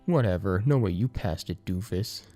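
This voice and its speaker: Give the part to a cocky young student played by a man, full of mocking banter. The pitch sits lifted and the delivery is teasing and jocular, like a best friend in an audiobook story. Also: lively intonation, moderate pace, warm tone, soft background music.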